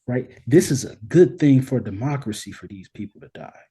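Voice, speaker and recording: Mellow, slightly husky man explaining ideas. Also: mid-range voice, lively intonation, moderate pace. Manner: emphatic, checks in with "right?" and "you know what I mean?". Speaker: interview guest, scholar. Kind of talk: conversational explanation of social theory.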